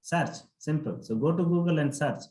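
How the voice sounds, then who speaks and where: A man's voice: fairly high pitch, normal speed, a little mellow. A man coaching students for an English test, instructively correcting pronunciation and repeating words for emphasis. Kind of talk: interactive lesson, correcting pronunciation. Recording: echoey room sound.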